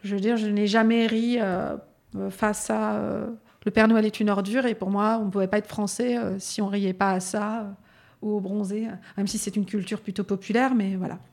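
Mid-pitched woman telling personal stories. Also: flat delivery, normal speed, gravelly, a little mellow. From Lyon area, France. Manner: hesitant, frequent fillers, storytelling. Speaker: podcast guest and speaker. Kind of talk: casual reflective conversation.

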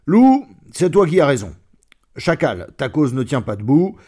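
A man voicing a mighty, scheming male lion who rules as judge, booming and mocking. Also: sing-song, unhurried, darkish tone. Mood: commanding.

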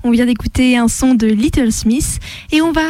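A woman's voice: on the high side, moderate pace, a little mellow, no echo. A woman hosting a radio show, presenting and reading scripted narration. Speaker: radio host. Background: music.

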